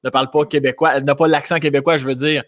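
A man with a Quebec French accent who hosts the interview and asks questions in a relaxed, colloquial way. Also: fairly high pitch. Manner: relaxed, colloquial, quick backchannels. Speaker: interviewer host. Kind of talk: casual interview conversation.

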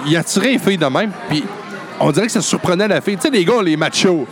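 A man's voice: high voice, lively intonation, fast talker. A young man with a Quebec French accent, co-hosting a podcast and telling stories in a busy bar. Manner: laughing, storytelling. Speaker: podcast co-host. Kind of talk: casual bar chat. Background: loud bar crowd.

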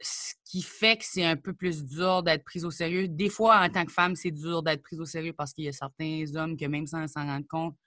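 A young Acadian woman talks in a relaxed, chatty way, jumping into quick asides. Her voice is bright and forward. It sounds dry and close, with almost no room echo. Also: low-pitched. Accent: Acadian French. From New Brunswick, Canada. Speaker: comedian and rapper, guest. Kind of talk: casual interview chat.

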